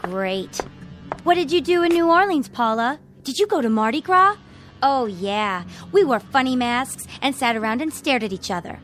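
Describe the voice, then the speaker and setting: A bratty young woman in a radio drama. Her voice is on the high side, bored and mocking, with faint music underneath. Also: animated, moderate pace, a bit bright.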